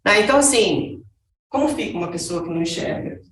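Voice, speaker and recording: A woman's voice: medium pitch, lively intonation, a little fast. Brazilian woman doing a talk, lots of né, rhetorical questions, a bit far from the mic in an echoey hall. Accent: Brazilian Portuguese. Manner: conversational, frequent "né" tags, rhetorical questions. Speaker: speaker giving a talk on accessibility. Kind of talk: presentation talk to an audience. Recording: echoey room, voice from a distance.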